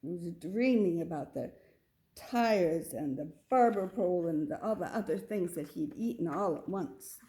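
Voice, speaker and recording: A woman's voice: fairly low pitch, expressive, moderate pace, mellow. A woman narrating a children's story as a read-aloud. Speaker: storybook reader.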